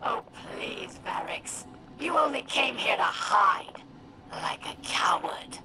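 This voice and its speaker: A woman's voice: medium pitch, expressive, slow pace, bright, forceful tone, in a whisper. A woman voicing a vengeful, imperious alien warlord in a video game, menacing, commanding and scornful. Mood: scornful, threatening. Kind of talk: video game villain acting.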